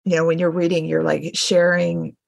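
A woman's voice: on the low side, monotone, moderate pace, creaky, a little mellow, no echo. A middle-aged woman hosting a casual interview, responding as an affirming, appreciative listener. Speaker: interviewer, host.

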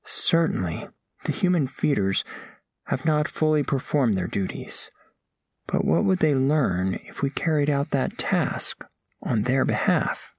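A man playing a gentle, earnest alien scholar who narrates audio fiction in measured, formal, precise language. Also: mid-range voice, creaky, slightly rough, dry recording, full character voice. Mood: earnest, calming. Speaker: first-person narrator of a story.

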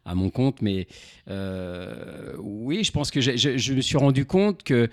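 A man in his fifties from Normandy, answering as an interview guest, often pausing and repeating words as he searches for phrasing. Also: medium pitch, no echo, clean studio sound. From Normandy, France. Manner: hesitant, halting, repeats words while searching. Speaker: interview guest, insurance broker. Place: indoors, radio studio.

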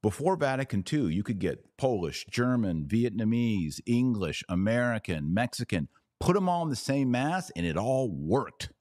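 A man's voice: medium pitch, sing-song, normal speed, few pauses, darkish tone, no echo, studio quality. A man hosting a live podcast, reading viewer comments and giving emphatic, opinionated commentary with rhetorical questions. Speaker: podcast host.

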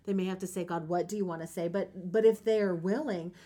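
A woman's voice: lowish voice, steady tone, fast talker, mellow. A woman hosting a podcast, talking conversationally about faith with a guest in an earnest, encouraging way. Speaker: podcast host.

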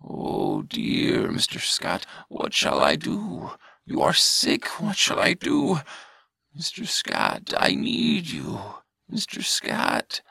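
A man voicing an anxious, clingy male alien who speaks in halting, whispery pleas in an audio story. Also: a little high, huge pitch swings, vocal fry, sharp tone, breathy edge, dry recording, pitched above the performer's own voice, full character voice. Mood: pleading.

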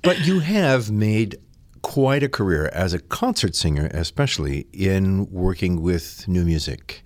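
A man's voice: deep voice, expressive, measured pace, no echo. A middle-aged man hosting an arts interview, asking long, articulate and admiring questions. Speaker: interviewer, host.

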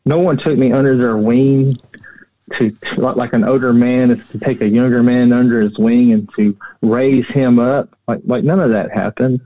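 Middle-aged male guest, casual storytelling, repeats words, mid-pitched, slightly lo-fi sound without room echo. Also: fairly even intonation, moderate pace. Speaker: interview guest. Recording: dull, muffled but dry audio.

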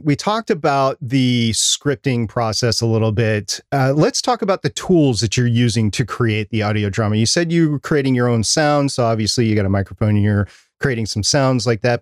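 A man's voice: mid-range voice, no room sound, studio quality. A man hosting a podcast, asking questions in a casual, conversational interview style.

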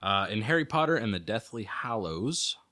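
A man's voice: lowish voice, big pitch swings, moderate pace, bright, forceful tone. A man co-hosting a podcast, reading quiz questions aloud and chatting casually in an easygoing way. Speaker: podcast co-host, quizmaster.

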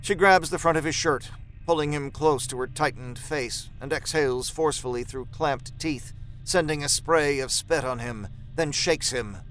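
A man's voice: fairly high pitch, normal speed, a bit bright, low-quality recording. A man narrating a horror story from a script.

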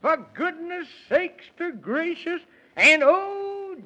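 An actor playing an excitable, impatient little Man in the Moon in a 1930s children's radio play, with an exclamatory manner. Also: very high voice, takes it slowly, exaggerated voice. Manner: exclamatory, nonsense oaths. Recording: muffled vintage 1930s radio recording.

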